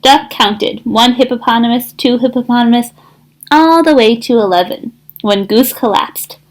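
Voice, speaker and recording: A woman speaking as a school counselor reading a picture book aloud to children, with an encouraging, expressive delivery. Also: on the high side, normal speed.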